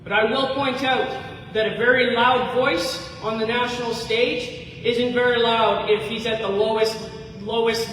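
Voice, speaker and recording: Bright, forceful woman in echoey hall. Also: fairly high pitch, a little hiss or hum. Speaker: independent candidate. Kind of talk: debate speech. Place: community hall. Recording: echoey hall, distant mic.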